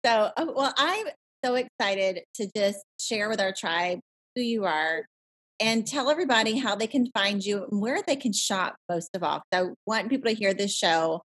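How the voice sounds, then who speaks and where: A woman's voice: mid-range voice, expressive, moderate pace, fairly bright tone, husky, low-quality recording. A woman hosting a podcast, chatting in an upbeat, encouraging way with her guest over a video call. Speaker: podcast host. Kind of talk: casual interview chat.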